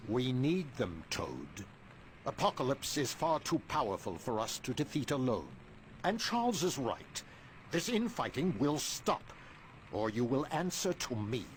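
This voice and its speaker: An actor playing a grave, stern older mutant leader in a video game, speaking with formal authority. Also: male voice, mid-range voice, big pitch swings, unhurried, a little hiss or hum.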